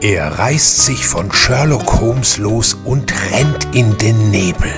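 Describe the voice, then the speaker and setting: An actor as the narrator of a German radio crime drama, speaking in an ominous, suspenseful way. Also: male voice, lowish voice, expressive, takes it slowly. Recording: radio play narration over music. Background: music.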